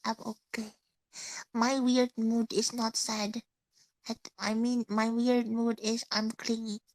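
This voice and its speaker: A young Indonesian woman with an Indonesian accent and a stuffy nose, chatting casually with listeners as a streamer, halting and searching for words in English. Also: on the high side, flat delivery, darkish tone, no echo, rough recording. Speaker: VTuber streamer.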